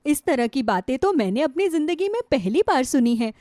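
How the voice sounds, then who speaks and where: A woman's voice: high voice, big pitch swings, moderate pace, dark tone, no room sound. A fussy middle-aged prospective mother-in-law in a cartoon moral tale, put on by a woman. She is courteous in form but judgmental in manner. The sound is dry and close. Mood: disapproving. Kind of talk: Hindi moral story cartoon acting.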